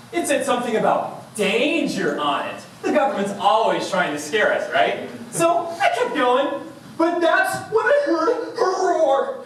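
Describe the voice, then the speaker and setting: A man delivering a theatrical tall-tale contest speech with dramatic emphasis and pauses, speaking in a large room. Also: extremely high pitch, fairly bright tone. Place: large indoor conference room before an audience. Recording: echoey room from far off, faint noise.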